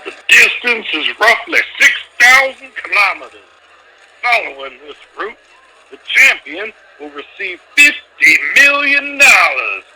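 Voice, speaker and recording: A man's voice: high voice, bright, forceful tone, full character voice, low-quality recording. A man in an amateur fan dub playing an older, blustering race promoter with an emphatic delivery. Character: older male race promoter, blustering boss who frets in private.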